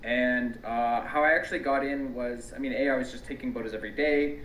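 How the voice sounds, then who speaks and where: An American man who grew up in Tanzania, a researcher guest giving relaxed interview answers with frequent ums and restarts. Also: medium pitch, brisk, slight background noise. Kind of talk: casual conversational interview answers. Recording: distant, echoey remote recording.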